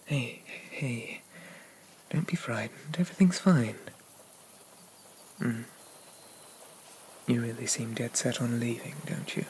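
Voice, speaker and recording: A possessive young demon prince, acted by a man in an intimate ASMR roleplay, coaxing and regal with a sweet menace. The voice is soft and breathy, slipping into whispers, with slow phrases and long pauses. A steady rain-like noise runs behind the words. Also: mid-range voice, close to an everyday voice. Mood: gently reassuring, then possessive, menacing. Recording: noticeable rain-like background noise.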